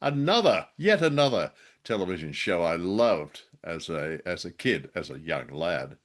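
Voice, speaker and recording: A man's voice: sits low, big pitch swings, unhurried. A middle-aged or older man co-hosting a casual discussion of old-time radio, wry, self-deprecating and a little hesitant. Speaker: podcast co-host.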